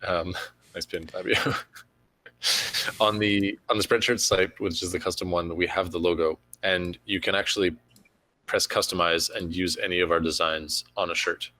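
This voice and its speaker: Very deep-voiced easygoing man. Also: fairly even intonation, moderate pace, pushed and bright, no room sound, rough recording. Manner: easygoing, restarts his sentences. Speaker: game developer answering questions. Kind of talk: casual livestream Q&A chat.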